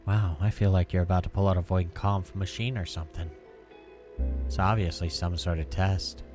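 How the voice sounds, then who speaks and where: Man reading as a guarded young woman, low-voiced. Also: steady tone, normal speed, darkish tone, soft background music, close to an everyday voice. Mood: matter-of-fact. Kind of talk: reading visual novel dialogue.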